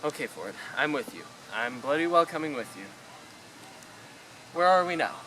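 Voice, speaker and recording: A fussy, bewildered Earthling traveller played by a man in a radio comedy. His bright voice swings widely in pitch as he stammers querulously, over clear background noise. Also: sing-song, moderate pace, rough recording. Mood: resigned, anxious. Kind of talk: amateur radio play comedy acting.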